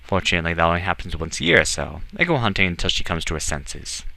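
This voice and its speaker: A man voicing a sardonic, haughty tall male elf with a quick temper and a theatrical, teasing manner in a storybook reading. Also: low voice, sing-song, creaky, sharp tone, no room sound, full character voice. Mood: sardonic, amused. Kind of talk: audiobook character acting.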